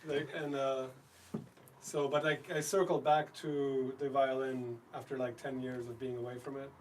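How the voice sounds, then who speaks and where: A man's voice: medium pitch, little pitch movement, moderate pace, recorded from a distance, a little hiss or hum. A man from Germany, a violinist, chatting in an interview in a joking, rambling way full of restarts. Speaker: violinist in a band. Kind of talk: casual interview chat.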